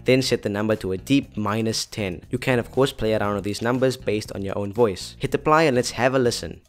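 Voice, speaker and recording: A man's voice: on the low side, fairly quick. A young man presenting a scripted tutorial in a chatty, playful way, addressing viewers directly. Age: young adult. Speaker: tutorial host. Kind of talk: scripted tutorial narration to camera. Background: background music.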